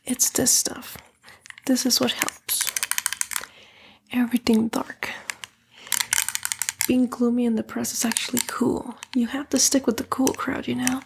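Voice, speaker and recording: A woman playing a coaxing, condescending female demon in a breathy, whispered ASMR roleplay. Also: fairly high pitch, flat delivery, unhurried, long pauses. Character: female demon, a fallen angel, coaxing and vain tempter.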